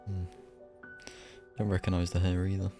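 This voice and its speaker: A male streamer with a fairly low voice full of vocal fry. He comments casually on a game, musing and hesitant, with faint music underneath. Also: completely flat delivery, normal speed. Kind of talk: casual live gameplay commentary.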